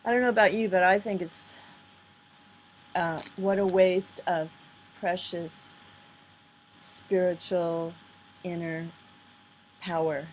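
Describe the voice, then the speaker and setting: A woman's voice: on the low side, steady tone, takes it slowly, pauses often, a little hiss or hum. A woman giving rambling, earnest, off-the-cuff commentary in a casual style, on a poor-quality home recording. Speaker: video commentator. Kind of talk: casual off-the-cuff commentary over played videos.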